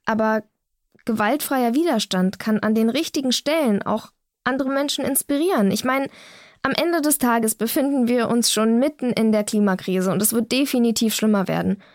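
A woman's voice: a little high, moderate pace, no room sound, clean studio sound, close to an everyday voice. A young woman playing an earnest, defiant climate activist who argues in a colloquial way in naturalistic audio drama. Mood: earnest conviction.

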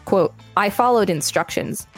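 A woman co-hosting a podcast, reading an article aloud in a measured way with light commentary. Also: mid-range voice, lively intonation, faint music behind.

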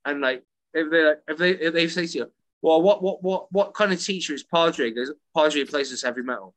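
Bright, excitable man telling classroom stories. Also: on the high side, brisk, no room sound. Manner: animated storyteller, repeats words when starting sentences. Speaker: podcast co-host and English teacher. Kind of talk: casual chat. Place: works in Italy.

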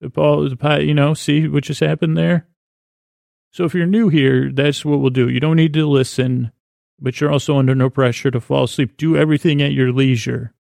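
A man hosting a sleep podcast with a meandering, halting, whimsical bedtime monologue in a gravelly, creaky voice. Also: mid-range voice, darkish tone, no room sound, clean studio sound. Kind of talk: rambling improvised bedtime monologue.

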